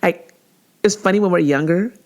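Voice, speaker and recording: A woman in her forties hosting her own podcast in a casual monologue, chatty and animated, and often jumping much higher in pitch when she acts out something someone said. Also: normal speed. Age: 40s. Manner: laughs easily, with frequent filler words and restarts. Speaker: podcast host.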